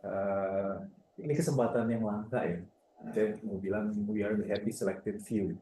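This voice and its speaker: A man's voice: lowish voice, fairly even intonation, normal speed. A man, a university lecturer, giving informal closing remarks to students in a conversational way. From Indonesia. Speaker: university lecturer moderating a guest talk. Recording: echoey room with clear background noise.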